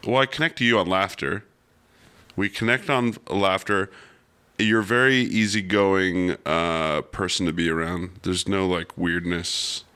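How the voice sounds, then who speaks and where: Low, bright-voiced man chatting casually. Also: unhurried, clean tone. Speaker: podcast guest, actor and director. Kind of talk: casual joking chat.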